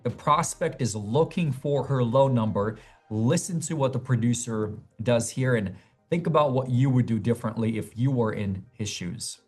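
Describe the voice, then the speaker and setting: A man with a slightly rough, husky voice delivers an instructional talk. He explains step by step in a measured way, with faint music behind him. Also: medium pitch, darkish tone. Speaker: sales trainer, host. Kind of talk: instructional narration.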